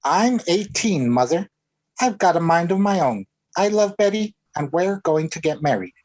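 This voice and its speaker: A man's voice: high voice, big pitch swings, normal speed, darkish tone. An American male actor playing an earnest, defiant, lovestruck eighteen-year-old in a 1940s radio comedy. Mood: earnestly defiant. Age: young adult. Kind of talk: 1940s radio sitcom acting.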